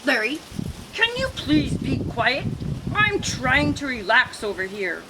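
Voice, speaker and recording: A woman voicing a grumpy, fussy male lizard who scolds in a haughty, drawn-out way. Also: sits high, big pitch swings, background noise, full character voice. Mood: scolding.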